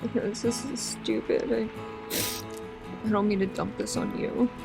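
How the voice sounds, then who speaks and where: Woman acting a creaky-voiced, heartbroken, self-doubting woman. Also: fairly high pitch, fairly even intonation, over music, poor audio. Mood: tearful, apologetic. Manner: halting, stammering, rambling, sighing. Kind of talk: emotional audio roleplay acting.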